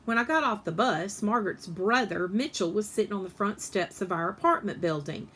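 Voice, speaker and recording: A woman reading a children's story aloud in an animated, expressive way, with emphasis on the dialogue. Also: mid-range voice, fairly quick, slightly rough.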